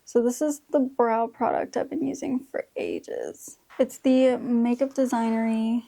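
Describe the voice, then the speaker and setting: A woman's voice: on the high side, very animated, measured pace, gravelly, mellow. A woman in her mid twenties chatting casually through a makeup tutorial in informal, slangy speech. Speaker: beauty vlogger.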